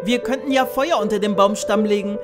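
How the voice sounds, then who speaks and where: A woman's voice: medium pitch, a little fast, loud music behind the voice, close to an everyday voice. A woman voicing reckless, mocking older princes in a fairy tale, scheming and scornful. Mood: gleefully scheming.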